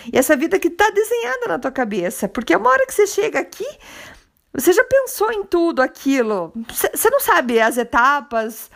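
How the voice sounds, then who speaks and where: A Brazilian woman with a high, light voice hosts a motivational podcast on her own. She talks in a loose conversational way, encouraging and reflective, as if speaking straight to one listener. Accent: Brazilian Portuguese. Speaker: podcast host.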